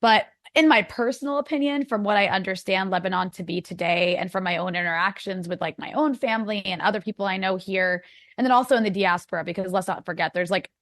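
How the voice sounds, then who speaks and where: A woman's voice: mid-range voice, fast, a bit bright, no room sound, studio quality. A woman with an American accent, a guest in a casual interview, talking in a rambling way full of "like" and restarts. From United States. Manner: rambling, many likes and restarts.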